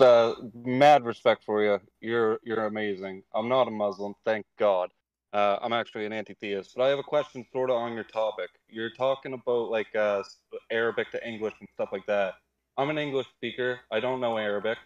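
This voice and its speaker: A man's voice: fairly low pitch, muffled recording. A man calling in to a live stream, asking a question in a casual, enthusiastic way with lots of fillers. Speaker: caller.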